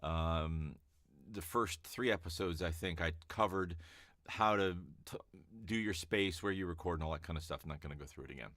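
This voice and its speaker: A man coaching voice-over hopefuls in a relaxed, chatty teaching talk with a calm, easy-to-listen-to voice. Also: low voice, moderate pace, no echo, studio quality. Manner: relaxed and chatty, with fillers and restarts. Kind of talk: casual teaching vlog.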